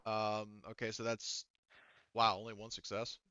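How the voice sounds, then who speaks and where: A man's voice: fairly low pitch, monotone, a little slow, lo-fi sound, very noisy. A man working as game master, narrating a tabletop roleplaying game in a casual, informal way with some swearing.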